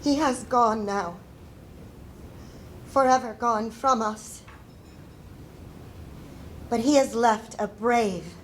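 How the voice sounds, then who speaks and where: Slow, grieving older mother voiced by a woman. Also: medium pitch, a little hiss or hum, natural voice, poor audio. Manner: solemn, deliberate, mournful. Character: grieving, devout Civil War mother writing to her child. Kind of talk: dramatic letter reading. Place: indoor stage before a projection screen.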